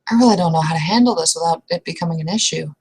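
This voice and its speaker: A young woman with a slightly low voice talks through an improvised roleplay scene. She speaks casually and haltingly, restarting sentences and trailing off as she goes. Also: darkish tone, breathy edge. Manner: casual, hesitant, trailing restarts with mild swearing. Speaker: roleplay player. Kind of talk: improvised in-character roleplay conversation.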